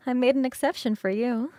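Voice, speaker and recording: Woman playing a soft, intimate ancient succubus queen. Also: high voice, no room sound. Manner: slow, intimate, soothing, often whispering. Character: ancient succubus queen, tender, teasing, doting lover. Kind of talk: ASMR roleplay.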